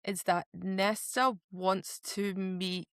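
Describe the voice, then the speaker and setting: A woman's voice: mid-range voice, little pitch movement, takes it slowly, a bit bright, smooth, no echo, studio quality. A woman co-hosting a podcast, recapping and explaining a book in a casual, conversational way. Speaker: podcast co-host.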